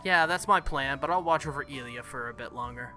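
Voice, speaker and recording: A man's voice: mid-range voice, a little fast, pushed and bright, soft background music. A man voicing a stubborn, protective, hot-headed young man from a visual novel. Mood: earnest, tense.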